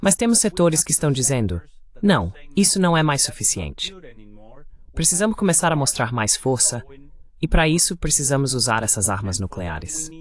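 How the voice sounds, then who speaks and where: A man's voice: mid-range voice, sing-song, moderate pace. A man delivering political commentary as the translated voice of an interview guest. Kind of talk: Portuguese voice-over of political commentary.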